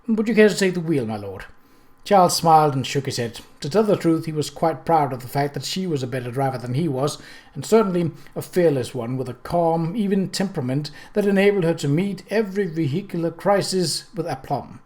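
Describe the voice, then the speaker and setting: Higher-pitched man narrating a novel. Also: brisk, a little mellow. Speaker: audiobook narrator.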